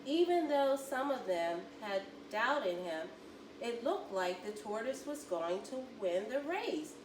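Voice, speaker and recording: A woman reading a children's story aloud with expressive, deliberate storytelling. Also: on the high side. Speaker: volunteer children's story reader. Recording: roomy, distant mic, faint noise.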